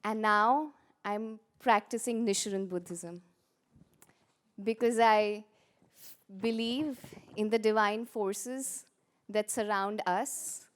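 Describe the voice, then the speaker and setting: A woman from India introducing herself as a peace educator, speaking earnestly with measured pauses. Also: medium pitch. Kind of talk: personal introduction at a conference.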